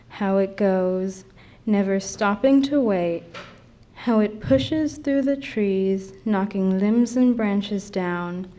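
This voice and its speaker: A young teenage girl reciting her own poem in a deliberate manner. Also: mid-range voice, mellow. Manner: deliberate, repeats words for effect. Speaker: student poet reading own poem. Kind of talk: poetry recitation.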